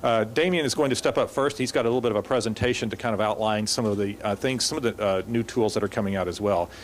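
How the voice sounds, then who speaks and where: Fast-talking low-voiced male moderator. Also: slight background noise. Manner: rapid, with many fillers and restarts. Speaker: panel moderator. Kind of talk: conference moderation. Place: conference hall.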